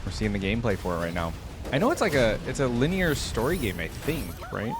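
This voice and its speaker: A man's voice: mid-range voice, very animated, fairly quick, music in the background. A man hosting a reaction video, giving chatty, informal, off-the-cuff commentary. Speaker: reaction video host, streamer.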